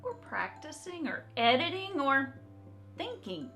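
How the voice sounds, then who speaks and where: A woman art teacher reading aloud and talking to young children in an encouraging, expressive, direct way. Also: sits high, unhurried, soft background music. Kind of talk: children's read-aloud and talk to young kids.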